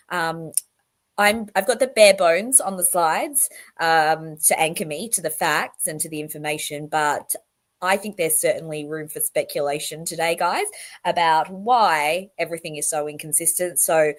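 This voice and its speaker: A croaky-voiced woman, still getting over an illness, hosts a casual livestream. Her voice sits a little low, and she rambles warmly through asides as she welcomes viewers by name. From Australia. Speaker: livestream host. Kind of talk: casual livestream talk to chat.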